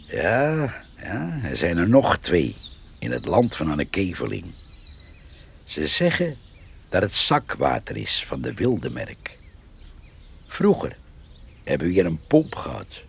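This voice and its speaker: A man's voice: low-pitched, gravelly, exaggerated voice. An actor playing a chatty, blunt countryman with a folksy manner in a Dutch radio drama. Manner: folksy, talks to himself. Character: countryman called the fox, chatty, blunt, meddlesome. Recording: noisy radio drama recording.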